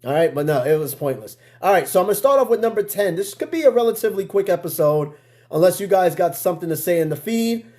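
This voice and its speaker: A man's voice: high-pitched, animated, fast, darkish tone. An American man hosting a podcast in casual livestream chat, slangy and prone to swearing, with conversational asides to his listeners. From United States. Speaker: podcast host.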